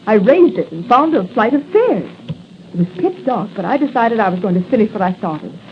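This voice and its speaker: An actress playing a plucky, eager young newspaper reporter in 1940s radio style. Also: female voice, medium pitch, sing-song, a little fast, lightly acted. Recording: muffled noisy 1940 radio recording.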